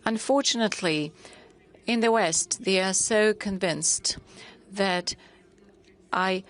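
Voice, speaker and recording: A woman's voice: medium pitch, slow pace. A woman from Lebanon, asking a question at a forum in an apologetic, hesitant way. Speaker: audience questioner.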